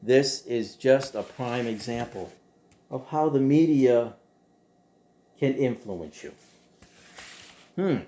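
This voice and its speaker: Male host, middle-pitched and mellow, for a casual opinionated monologue; conversational, rambling delivery with rhetorical emphasis. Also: expressive, takes it slowly, long pauses. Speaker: host of a show about old radio.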